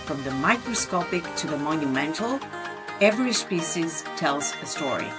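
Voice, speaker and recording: A woman's voice: sits low, animated, moderate pace, music in the background. A woman speaking as a podcast host, enthusiastic and complimentary as she presents and interviews. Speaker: veterinary specialist. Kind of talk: scripted introduction and interview talk.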